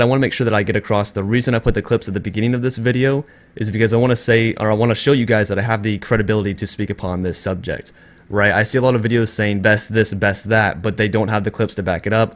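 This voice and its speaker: A man with a cold, congested and a little scratchy, hosting an earnest, casual gaming tutorial and often circling back to restate his points. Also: fairly low pitch.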